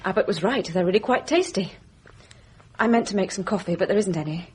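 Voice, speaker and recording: A woman's voice: mid-range voice, a little fast, natural voice. An actress playing a genteel young English lady in a radio drama, politely clipped and brittle, hiding inner turmoil. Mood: brittle politeness. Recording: radio drama with faint background noise.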